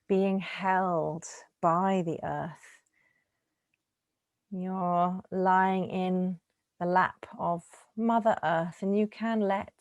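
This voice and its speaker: Low-pitched woman guiding relaxation, close and dry. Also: no echo. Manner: gentle, soothing, unhurried with lingering pauses. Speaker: yoga nidra teacher. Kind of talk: guided relaxation meditation.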